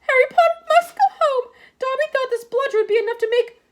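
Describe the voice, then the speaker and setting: A woman voicing a tiny, servile male house elf who pleads in a high, tearful whisper. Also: brisk. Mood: earnest pleading.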